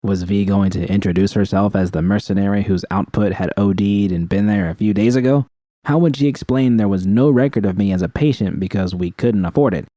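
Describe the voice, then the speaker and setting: A man's voice: on the low side, mellow, no room sound. A man reading a scripted first-person story as an audiobook narrator.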